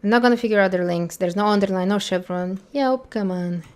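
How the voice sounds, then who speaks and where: A woman's voice: medium pitch, a little fast, darkish tone, clear, resonant tone. A woman whose first language is Bulgarian, an accessibility instructor thinking aloud in a candid, blunt way while she tests a website for a screen-recorded tutorial.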